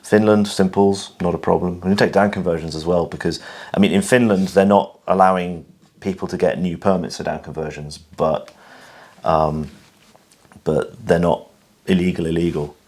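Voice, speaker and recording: A man's voice: low-pitched, fairly even intonation, normal speed, darkish tone. A British man hosting a casual Q&A, explaining things in a dry, matter-of-fact way with frequent ums and restarts. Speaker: host. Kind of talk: casual conversational Q&A.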